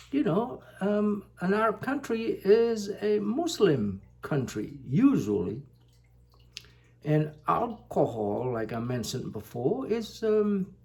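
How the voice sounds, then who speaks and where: Slow, halting Indonesian-born man. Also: a little high, gravelly, dark tone. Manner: slow, halting, many fillers. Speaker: vlogger. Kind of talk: casual talk to camera.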